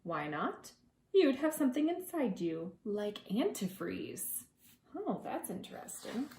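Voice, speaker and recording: A woman reading aloud to preschoolers as their teacher, expressive and gentle, drawing out words and adding conversational asides. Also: medium pitch, reverberant. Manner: gentle, deliberate, drawing out words for young listeners.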